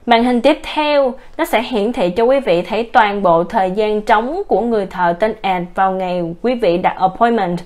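Woman narrating a step-by-step software demo. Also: on the high side. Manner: formal, addresses viewers politely as quý vị; explains step by step, with occasional restarts. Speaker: software demonstrator. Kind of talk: screen-recorded software tutorial. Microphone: voice-over narration over a screen recording.

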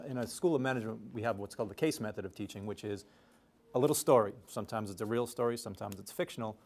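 Middle-aged professor teaching a class. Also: male voice, mid-range voice. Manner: explains step by step, conversational. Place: tiered university classroom.

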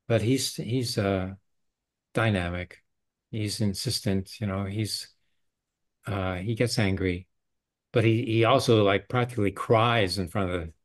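An older man, in his sixties or beyond, talking politics in a halting, conversational way. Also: fairly low pitch. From Canada. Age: 60s or older. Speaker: forum host. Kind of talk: casual political discussion. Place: indoor room, video call.

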